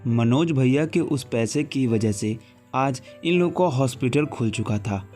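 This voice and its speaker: A man's voice: mid-range voice, animated, a little slow, a little mellow, soft background music. A man reading a scripted horror story as its narrator.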